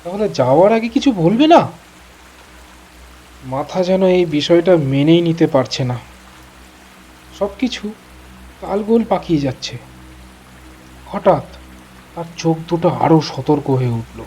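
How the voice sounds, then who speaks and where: A man's voice: on the high side, expressive, a little slow, long pauses, warm tone, faint music behind. A man narrating a horror story in a suspenseful, theatrical, dramatic manner.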